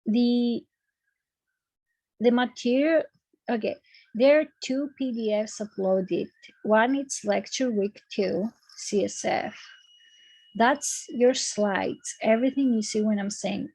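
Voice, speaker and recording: A woman lecturer with a Greek accent giving online class announcements, repeating words and filling pauses with um and okay. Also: on the high side, warm tone, dry recording, low-quality recording. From Greece. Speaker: university lecturer. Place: recorded video call. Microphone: computer mic on a video call.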